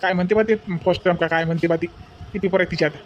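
A man's voice: high-pitched, steady tone, rapid-fire, dull audio, slight background noise, close to an everyday voice. A man playing an exasperated, talkative village father in a cartoon, grumbly and matter-of-fact.

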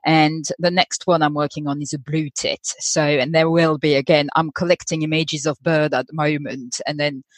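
A woman's voice: sits low, flat delivery, moderate pace, hardly any pauses, dry recording. A native French-speaking woman with a French accent, talking casually as an interview guest. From France. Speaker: guest, textile artist and tutor.

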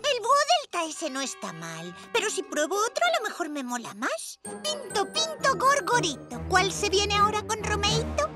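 A woman's voice: extremely high pitch, very animated, moderate pace, hardly any pauses, loud music behind the voice. A woman voicing a gloating, scheming boy mad scientist in a Castilian Spanish cartoon dub. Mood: smug. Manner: mocking, singsong, smug.

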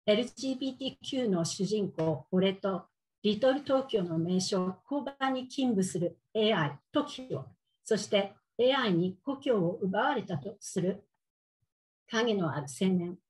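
An older woman, a native Japanese speaker with a Japanese accent, giving a prepared formal speech as a contest judge in a measured way. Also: mid-range voice, a little mellow, poor audio. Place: indoors on a video call, virtual backdrop.

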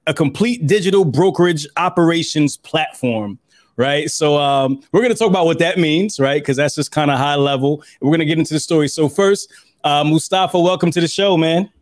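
A man's voice: fairly high pitch, brisk, dry recording, clean studio sound. A man in his thirties hosting an interview over a video call, upbeat and prone to repeating himself. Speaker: podcast host. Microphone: webcam on a video call.

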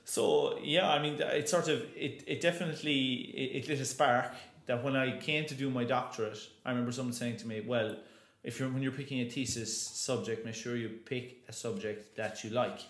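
Man, from Ireland, opera singer guest, chatty, lots of restarts, mid pitch, roomy echo. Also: fairly even intonation, normal speed, few pauses. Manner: chatty, many restarts and fillers. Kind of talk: casual interview talk.

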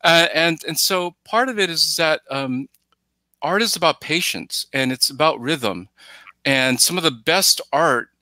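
A middle-aged man with a very bright, forceful voice, slightly high for a man, gives conversational commentary. He circles back over phrases haltingly and acts out imagined reactions, in a dry recording. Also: lively intonation, no echo. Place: indoor room, video call.

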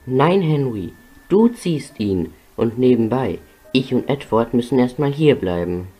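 A man's voice: mid-range voice, lively intonation, measured pace, warm tone, breathy edge, faint music behind. A man voicing an eager, earnest young male steam engine in a cartoon dub. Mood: urging. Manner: pleading, earnest.

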